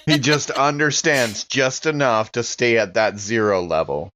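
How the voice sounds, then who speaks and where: A man's voice: medium pitch, a little slow, a bit bright, a little hiss or hum. A man playing a character in a tabletop roleplaying podcast, chatting casually in and out of character. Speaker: player in an actual-play podcast.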